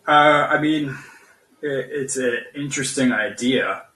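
Distant and roomy, this is a man giving his views as a guest in a political discussion. He speaks slowly and haltingly, often searching for the next word. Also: mid-range voice, sharp tone. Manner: halting, hesitant, searching for words. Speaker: podcast guest. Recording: roomy, echoey, distant from the mic.

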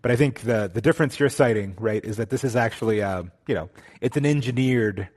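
A man's voice: mid-range voice, a little fast, a little mellow. A man hosting a podcast interview, asking questions in a casual, loosely phrased way with fillers. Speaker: podcast host.

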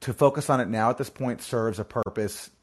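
A man hosting a call-in show, answering callers in a measured, deliberate way, point by point. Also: mid-range voice. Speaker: show host.

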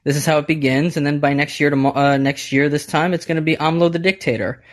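A man's voice: fairly high pitch, fairly even intonation, quick pace. A man calls in to a podcast and chats freely. He jokes as he goes and trips over his phrasing, backing up and trying again in a loose, friendly way. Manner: chatty, jokey, stumbles and restarts. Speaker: caller. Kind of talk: casual call-in chat.

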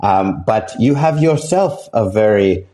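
A young man hosting a casual podcast interview, talking haltingly with many fillers and restarts. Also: lowish voice, animated, dark tone. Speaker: podcast host.

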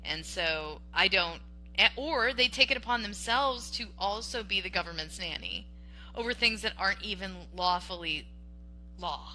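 A woman with a very bright, ringing voice argues her point on a podcast. She talks emphatically and animatedly, often restarting mid-sentence and checking in with "okay?". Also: mid-range voice, expressive, moderate pace, a little hiss or hum, poor audio. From Western Washington. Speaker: podcast co-host. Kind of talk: casual political debate.

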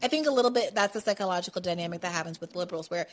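A woman hosting a political talk podcast, animated and sarcastic, building into quick rants. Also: lowish voice. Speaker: podcast host.

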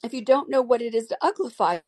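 Woman playing a gruff bossy griffin, male creature, loud, raised pitch, commanding. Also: expressive, fast. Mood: brusque. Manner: brusque, commanding. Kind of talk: storybook reading.

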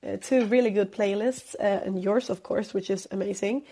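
A woman's voice: medium pitch, fairly even intonation, normal speed, mellow. A tired young woman from Sweden with a Swedish accent, chatting as a pop singer guest over a call-in line, hesitant, with many fillers and frequent laughs. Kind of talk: casual call-in interview. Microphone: phone call-in.

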